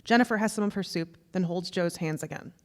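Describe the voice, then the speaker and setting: A woman's voice: fairly low pitch, fast. A woman reading scripted stage directions as narration at a live staged play reading. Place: theatre stage. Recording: reverberant theatre hall recording.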